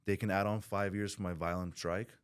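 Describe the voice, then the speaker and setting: Male guest from Southern California, very low voice; candid, profane, loose and rambling delivery. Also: flat delivery, brisk, smooth. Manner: blunt, swears freely, rambling with restarts. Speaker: podcast guest in recovery. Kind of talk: candid podcast conversation.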